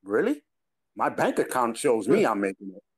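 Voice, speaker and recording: A man's voice: medium pitch, moderate pace, husky. Chatty New York man telling stories. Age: 20s. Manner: storytelling, quoting people with animated laughs. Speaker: podcast co-host. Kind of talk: casual chat.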